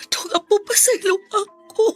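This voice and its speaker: A woman's voice: very high voice, moderate pace, fairly bright tone, soft background music. A woman acting a loving, remorseful old grandmother in a radio drama, pleading emotionally with a Cebuano accent. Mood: tearful pleading.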